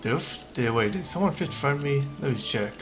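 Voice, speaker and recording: A man's voice: medium pitch, animated, a little fast. A man giving casual, off-the-cuff commentary as he streams a game. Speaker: gaming streamer. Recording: game music behind the voice.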